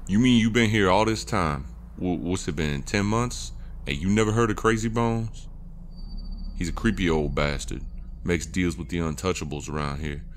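A man voicing a cocky, taunting, rough-talking drifter in an audio horror story, with teasing, folksy slang. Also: low-pitched, moderate pace, a little hiss or hum. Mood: incredulous, teasing.

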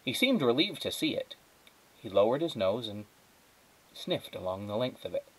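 A man's voice: mid-range voice, very animated, normal speed, husky, poor audio. A man reading a children's storybook aloud as a teacher, lightly acting out the dialogue.

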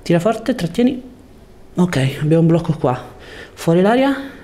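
Bright-voiced, low-key male chiropractor. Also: big pitch swings, normal speed. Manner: short coaching commands with reassurance. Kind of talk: calm hands-on instructions to a patient. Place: small treatment room indoors. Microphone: lapel mic. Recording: noticeable room background noise.

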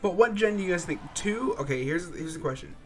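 A young man with a stuffy, slightly congested voice, casually commentating on a game as he plays. His pitch sits a little high and swings a lot, and he mutters and swears when things go wrong. Faint game music plays behind him. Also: animated, brisk. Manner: laid-back, swearing, frustrated muttering. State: stuffy, sore throat. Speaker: gaming channel host. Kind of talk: casual let's-play commentary.

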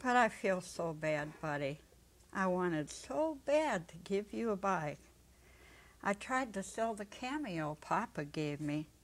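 An elderly woman playing a warm, spirited, plain-spoken old country woman in a dramatic story reading, folksy and earnest. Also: mid-range voice, sing-song, slow. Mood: regretful. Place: indoor stage set, seated at a music stand.

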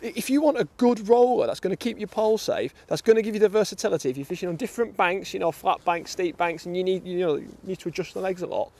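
Chatty British man, high voice. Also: fast, gravelly, few pauses, darkish tone. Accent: British English. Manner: chatty, enthusiastic, repeats words when starting. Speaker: fishing tackle reviewer. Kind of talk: casual chat. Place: outdoors on a lake bank.

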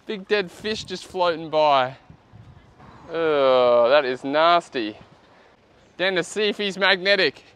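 A man in his thirties with an Australian accent, chatting casually and excitably as he hosts an outdoor vlog. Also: a little high, takes it slowly, long pauses, clear, resonant tone. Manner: excitable, exclaiming. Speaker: host of a magnet fishing vlog. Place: outdoors beside a canal. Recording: outdoor noise, traffic and ambience.

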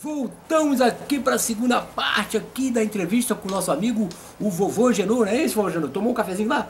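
A Brazilian man in his fifties with a fairly high voice hosts a casual comedy interview. He chats informally, with faint noise in the background. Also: lively intonation, fast talker. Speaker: host and puppeteer.

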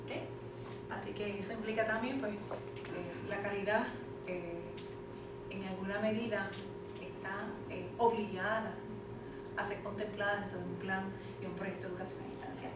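A woman's voice: mid-range voice, steady tone, normal speed. A middle-aged woman, speaking as a panel moderator in a fluent, emphatic lecturing manner. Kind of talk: conference presentation. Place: classroom, indoors. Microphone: far camera. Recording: echoey classroom from far off, noisy.

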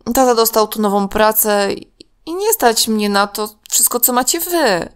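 A woman's voice: on the high side, animated, a little slow, clean tone, pitched above the performer's own voice. A woman voicing a remorseful, pleading young boy in a children's story. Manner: pleading, earnest. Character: remorseful young boy confessing his lies to friends.

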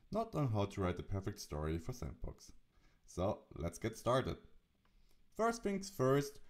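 Low-voiced man presenting a tutorial. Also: a little mellow. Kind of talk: instructional software screencast narration.

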